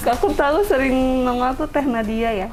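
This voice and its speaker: A woman's voice: high-pitched, normal speed, heavy background noise. A woman of 28 giving casual answers as a job interview candidate. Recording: music playing over the voice.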